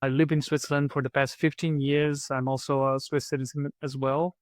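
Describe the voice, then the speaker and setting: A man's voice: mid-range voice, flat delivery, fairly quick, no room sound, studio quality. A man from Brazil, a guest on a podcast, thoughtfully explaining his work, often repeating words as he searches for the next one. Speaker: podcast guest, program manager. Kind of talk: conversational interview answers.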